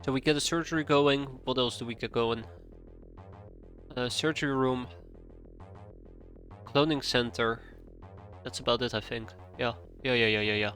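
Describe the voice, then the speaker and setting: A man's voice: mid-range voice, fairly even intonation, normal speed, pauses often, fairly bright tone, soft background music. Stuffy-nosed man rambling through a game stream. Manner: rambling, thinking aloud, easily sidetracked. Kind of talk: live gameplay commentary, chatting with viewers.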